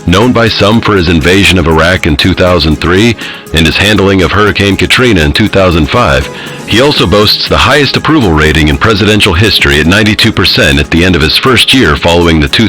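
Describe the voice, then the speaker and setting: An actor playing a formal morning news anchor in an audio drama, reading a bulletin in a polished, measured way. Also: male voice, sits low, animated, sharp tone, low-quality recording. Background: music.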